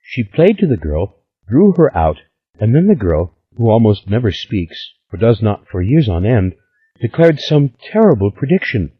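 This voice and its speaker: A man's voice: lowish voice, sing-song, normal speed, breathy edge, pitched above the performer's own voice. A man voicing a sulky, melodramatic young woman niece in an audiobook. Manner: dramatic, sighing. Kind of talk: audiobook character voice. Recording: slightly dull lo-fi recording.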